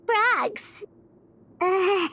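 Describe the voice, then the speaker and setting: A woman's voice: very high voice, drawn-out words, pushed and bright, exaggerated voice. A woman voicing a tiny, chirpy, curious floating companion in a video game, blunt in her remarks. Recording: game dialogue with background music.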